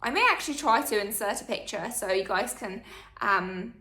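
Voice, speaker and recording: A woman's voice: medium pitch, moderate pace, sharp tone, roomy sound. A woman, chatting through a Q&A for her channel with plenty of fillers. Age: 20s. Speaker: vlogger. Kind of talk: casual Q&A chat.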